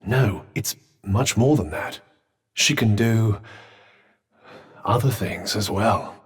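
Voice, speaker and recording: A man's voice: fairly low pitch, husky, exaggerated voice. A man voicing a wry, sardonic male talking magic bracelet in video game voice lines, with dry, formal, urbane speech. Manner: urbane, teasing, measured. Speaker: companion character.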